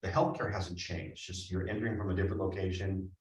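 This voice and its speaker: A man's voice: low voice, fairly even intonation, quick pace, darkish tone. A man speaking earnestly at a board meeting, reassuring the board with many restarts. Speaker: health care district administrator. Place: meeting room. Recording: echoey room from far off.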